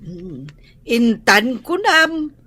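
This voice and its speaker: A woman's voice: high voice, big pitch swings, very slow, gravelly, sharp tone, dry recording, full character voice. A woman with an Ilocano accent playing a caring grandmother chatting with a neighbour in a radio drama. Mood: concerned, neighbourly. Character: caring older woman relative, fond of her grandchildren.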